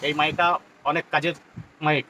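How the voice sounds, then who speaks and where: A man's voice: a little high, little pitch movement, brisk, background noise. A man gives an informal, explanatory product demonstration as a shopkeeper, often repeating himself. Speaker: shopkeeper presenting products on own channel.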